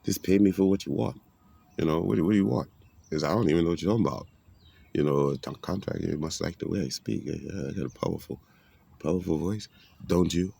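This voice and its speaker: A man with a very deep, gravelly voice delivers a rambling, preachy monologue. His tone is husky and rough around the edges, and he talks in a streetwise way. He keeps weaving biblical references into casual talk. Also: brisk, warm tone. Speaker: vlogger giving a monologue.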